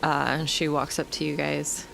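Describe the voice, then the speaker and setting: A woman's voice: low-pitched, level tone, moderate pace, vocal fry, a bit bright, slight background noise, poor audio. A woman chatting casually as a player in a tabletop role-playing game.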